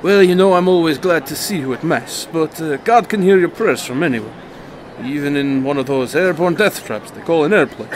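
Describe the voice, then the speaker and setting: A man acting as an imposing ex-criminal priest in a radio drama, friendly, hesitant and gently teasing. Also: fairly high pitch, normal speed, lightly acted. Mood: genial, hesitant. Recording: clearly noisy ambient background.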